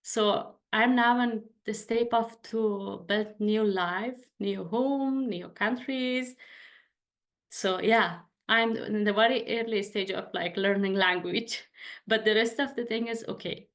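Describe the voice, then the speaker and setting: Afghan-accented woman, halting English. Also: medium pitch, a bit bright. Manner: halting non-native English with many fillers. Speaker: webinar speaker. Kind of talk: presentation in a webinar.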